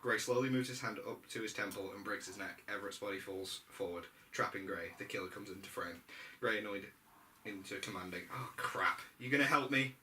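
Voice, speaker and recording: A man's voice: on the low side, steady tone, brisk, pushed and bright. A man reading stage directions aloud at an amateur script read-through, sometimes stumbling over the text. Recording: distant mic, faint room noise.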